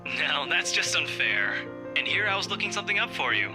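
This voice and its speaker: A woman's voice: low-pitched, big pitch swings, a little fast, pitched below the performer's own voice. A woman voicing a smug, teasing young man from a visual novel. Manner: teasing, smirking. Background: background music.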